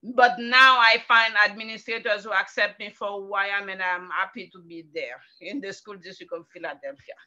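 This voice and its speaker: A woman's voice: mid-range voice, fairly even intonation, moderate pace, hardly any pauses, sharp tone, muffled recording. A middle-aged woman educator from Haiti with a Haitian French accent, giving a personal testimony. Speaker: educator, workshop participant.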